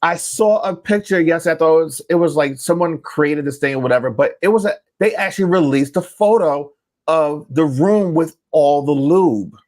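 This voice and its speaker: Higher-pitched man, blunt casual banter. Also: moderate pace, a little mellow. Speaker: talk show guest panelist.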